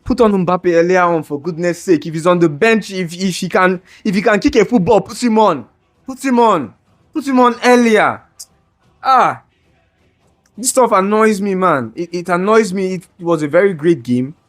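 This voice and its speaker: A man's voice: high voice, animated, moderate pace. A young man ranting in a heated, exasperated way about a football match, stumbling over restarts and straining his voice. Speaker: football fan vlogger. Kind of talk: ranting reaction video.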